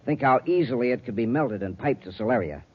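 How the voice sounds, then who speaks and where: An excitable American scientist explains things earnestly in an old radio drama. His voice is husky and hurried, on a dull fifties recording. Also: medium pitch. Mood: earnest, explanatory. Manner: emphatic, explanatory. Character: earnest, excitable scientist professor, adult man. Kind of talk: 1950s radio serial acting.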